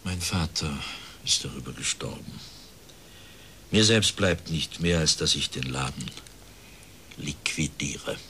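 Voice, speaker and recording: A man's voice: a bass voice, takes it slowly, pauses often, sharp tone, slight background noise, heavily acted. An actor playing a resigned factory director in his fifties, speaking formally and plaintively in a radio drama. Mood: bitter, resigned. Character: bitter, resigned plump factory director of fifty-one.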